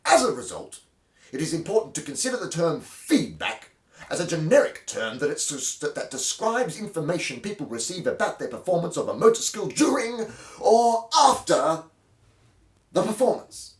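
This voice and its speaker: Theatrical man reading with wildly swinging pitch. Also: big pitch swings, normal speed. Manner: theatrical, hammy emphasis with sudden swells and hushed drops. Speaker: host of a dramatic reading series. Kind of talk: mock-dramatic textbook reading. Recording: roomy, distant microphone.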